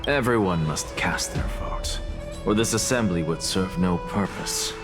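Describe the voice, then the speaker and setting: An actor playing a proud, scheming professor who lectures in a calm, haughty way. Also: male voice, low voice, unhurried. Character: proud, scheming male scholar professor, middle-aged. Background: game background music.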